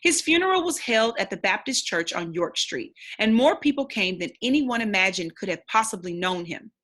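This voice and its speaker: A woman reads aloud as a teacher would for young listeners. Her voice sits at a middle pitch with a husky, breathy edge. The delivery is expressive storybook narration, instructive and plain. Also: normal speed.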